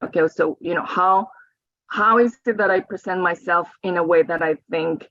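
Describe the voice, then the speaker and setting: A woman's voice: fairly low pitch, moderate pace, fairly bright tone, dry recording. A woman, giving a reflective talk over slides and often checking in with "right?" and "you know". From Colombia. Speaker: guest speaker. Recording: dry, slightly dull audio.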